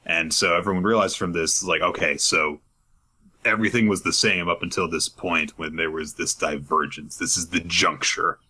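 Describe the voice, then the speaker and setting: A low-voiced man with a husky edge retells a comic's chapter in easygoing podcast chat. His tone is bright and forward despite the depth, and he laughs often as he goes. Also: steady tone, normal speed. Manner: chatty, laughs often, acts out quoted lines. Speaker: podcast co-host recapping manga.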